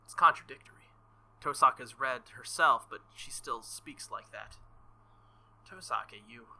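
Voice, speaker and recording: A man's voice: medium pitch, a little slow, bright, forceful tone. A man reading a visual novel aloud in a playthrough, adding playful, joking comments. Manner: playful, joking asides and laughter. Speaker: let's play streamer.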